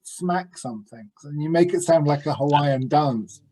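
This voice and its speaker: A man's voice: a little high, moderate pace, mellow. A man playing a tabletop game, joking and playful. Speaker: player. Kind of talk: casual game chat.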